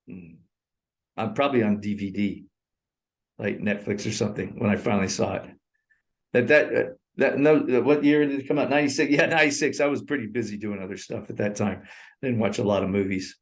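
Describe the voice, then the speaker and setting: A man in his sixties co-hosting a casual movie review over a remote call, talking in a rambling, animated way and laughing often. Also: lowish voice, brisk. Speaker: co-host. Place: in Thailand.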